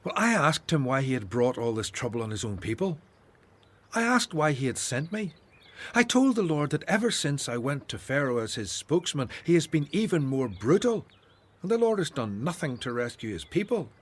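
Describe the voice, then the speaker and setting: A devout Hebrew prophet leading his people in a Bible radio drama. The voice is slightly high for a man. His delivery is solemn, earnest and declamatory. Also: very animated, moderate pace. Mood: weary, earnest.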